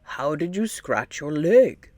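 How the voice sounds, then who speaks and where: A woman's voice: low-pitched, very animated, takes it slowly, darkish tone, no echo, voice pushed down, exaggerated voice. A woman voicing a skeptical, nagging camel with a blunt, doubting manner in a children's storybook.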